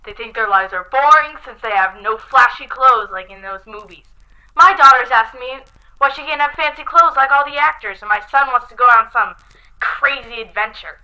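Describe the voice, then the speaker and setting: A woman's voice: on the high side, normal speed, close to an everyday voice. A woman playing a worried, disapproving mother calling in to a scripted 1920s radio show. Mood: indignant. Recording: dull lo-fi recording.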